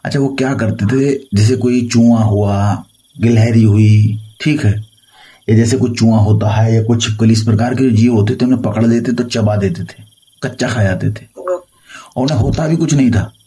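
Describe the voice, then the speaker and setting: A man's voice: on the low side, moderate pace, warm tone, husky. A man from India speaking as a tantric guru on a phone call, boasting and reassuring with authority. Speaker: tantric guru advising a caller. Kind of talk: phone consultation, boastful storytelling.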